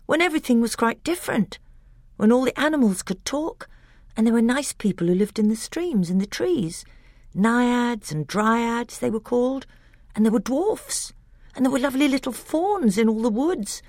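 An eager, timid little prince chatters in a high, wide-ranging voice, put on by a woman reading aloud. The sound is close and dry, with no room around it. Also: lively intonation, normal speed, a little mellow, no echo. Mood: eager babbling.

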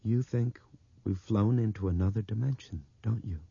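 A man's voice: fairly low pitch, moderate pace, creaky, dark tone, breathy edge, no room sound, lo-fi sound, voice pushed up. A man voicing an earnest, thoughtful young man who speaks with hesitant, eager wonder in an audiobook. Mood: awed.

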